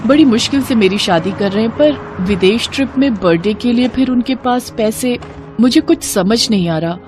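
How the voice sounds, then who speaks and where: A woman's voice: on the high side, normal speed, background noise, close to an everyday voice. A woman playing a hurt, puzzled young daughter-in-law in a cartoon family drama, earnest and questioning.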